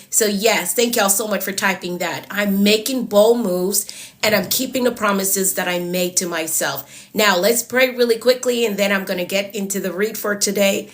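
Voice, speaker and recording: A woman's voice: medium pitch, a bit bright. Congested woman coaching live viewers. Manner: encouraging, repeats phrases, greets viewers by name. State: congested and losing her voice. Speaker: productivity coach hosting a livestream. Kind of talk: live stream chat with viewers.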